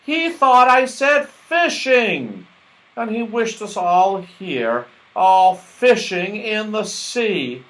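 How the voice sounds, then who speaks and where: Man voicing an excitable, high-pitched magical moon-faced fellow. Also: extremely slow, full character voice. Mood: groaning, exasperated. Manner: yelling and groaning in exclamations. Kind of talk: children's storybook character voice.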